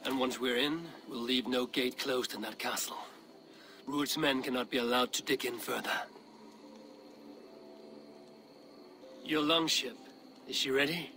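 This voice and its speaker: An actor playing a fierce, commanding Viking warrior leader in a dramatic video game. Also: male voice, medium pitch, steady tone, moderate pace, sharp tone. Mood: grim, resolute.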